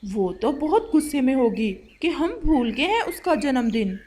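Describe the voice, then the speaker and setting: A high-pitched bird friend from a children's cartoon, acted by a woman. The delivery is animated and swooping, yet plain-spoken and matter-of-fact. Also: moderate pace, slightly rough, full character voice. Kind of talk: Hindi children's cartoon voice acting.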